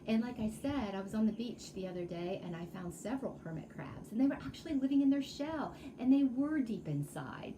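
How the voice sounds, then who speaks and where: A woman's voice: fairly high pitch, animated, fairly quick, few pauses, background noise. A woman storytime reader reading aloud expressively to children, with chatty asides and questions to her listeners.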